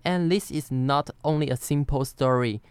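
Clear-voiced Taiwanese man telling a story. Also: mid-range voice, moderate pace, dry recording. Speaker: podcast co-host. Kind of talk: semi-scripted personal storytelling.

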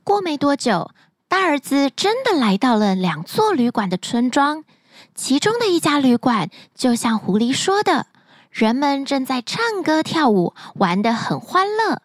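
Woman storyteller, high voice, very lively melody, bedtime tale, dry close recording. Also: a little slow, dry recording. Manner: expressive, sing-song storytelling.

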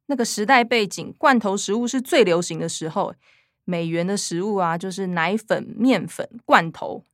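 An actress with a Taiwanese Mandarin accent voicing a matter-of-fact, bookish young daughter in a radio drama. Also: female voice, mid-range voice, normal speed, fairly bright tone, no room sound, studio quality, close to an everyday voice.

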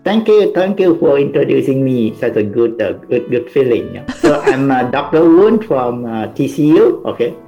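A man's voice: medium pitch, sing-song, vocal fry, mellow, dull audio, soft background music. Hesitant Malaysian man with many fillers. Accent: Malaysian English. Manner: halting, many "uh" fillers and "okay" tags. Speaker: university department chair, guest. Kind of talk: interview conversation.

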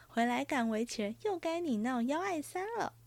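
A sharp-tongued young noblewoman who sulks and reproaches in a raised, plaintive voice, as a woman reads her part. Her lines are pitched well above the narrator's own voice. It is a dry recording with no room sound. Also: expressive, normal speed, vocal fry, no room sound, full character voice. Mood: teasing.

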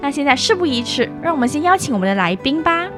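Young student radio host girl, highish voice, chatty interview talk, music going behind her. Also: big pitch swings, quick pace. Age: young adult. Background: background music.

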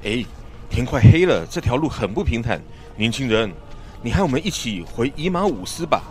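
A man's voice: mid-range voice, sing-song, moderate pace, faint music behind, close to an everyday voice. An actor playing an earnest, friendly village man and disciple in a radio Bible drama, speaking in a hearty, theatrical way. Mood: hearty, inviting.

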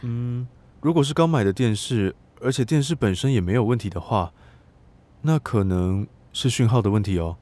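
A young man acting as a polite, quietly lonely student in an audio drama. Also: medium pitch, moderate pace, big-room sound, natural voice. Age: young adult.

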